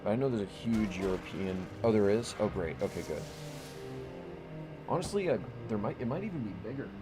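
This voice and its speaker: Low-voiced rambling male streamer. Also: expressive, fairly quick. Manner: rambling, with many restarts and fillers. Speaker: livestreamer playing a game. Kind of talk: casual stream chat answering chat. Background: background music.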